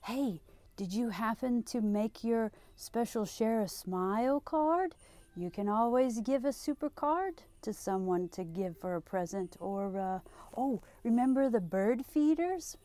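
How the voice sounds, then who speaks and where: A woman's voice: on the high side, dark tone, no echo. A middle-aged woman hosting a children's storytime, reading and chatting in a sing-song way, asking questions and answering them. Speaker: library storytime host.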